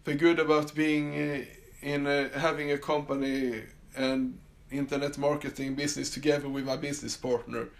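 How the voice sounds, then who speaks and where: A man's voice: fairly high pitch, level tone, normal speed, gravelly, few pauses. A man from Sweden with a Swedish accent, hosting a casual solo podcast from his summer house, talking with many fillers and restarts. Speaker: podcast host.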